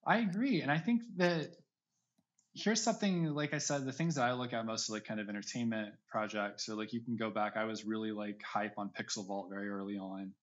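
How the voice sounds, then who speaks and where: A man's voice: lowish voice, steady tone, a little fast. Casual man on slightly muffled audio. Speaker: podcast guest. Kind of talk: casual conversational interview chat.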